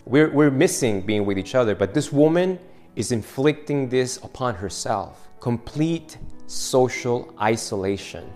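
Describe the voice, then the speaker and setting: A man's voice: mid-range voice, expressive, measured pace, a little mellow, faint music behind. A man from Argentina, preaching an Easter sermon in a conversational storytelling manner and asking his listeners questions. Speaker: pastor.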